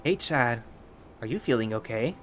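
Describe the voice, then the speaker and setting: A man's voice: medium pitch, lively intonation, moderate pace, fairly bright tone, slight background noise, natural voice. A man voicing a devoted, chipper male furry creature who calls someone master. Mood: gentle. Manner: chipper, pleading.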